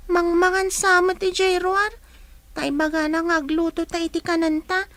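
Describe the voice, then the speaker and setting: Very high, plaintive little child, Ilocano accent. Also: female voice, little pitch movement, normal speed, fairly bright tone, no echo. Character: hungry, plaintive young orphaned child, younger sibling. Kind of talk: Ilocano radio drama acting.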